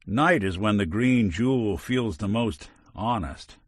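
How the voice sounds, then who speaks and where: A man's voice: lowish voice, lively intonation, measured pace, dry recording. An actor voicing a world-weary male robot detective in a game, talking in dry, hard-boiled wisecracks. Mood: reflective. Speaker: game companion character. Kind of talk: video game voice acting.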